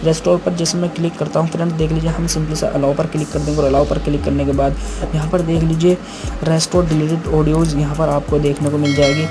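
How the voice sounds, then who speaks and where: A man with an Indian accent giving a step-by-step tech tutorial as a host, addressing viewers as friends in a repetitive way. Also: on the high side. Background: background music.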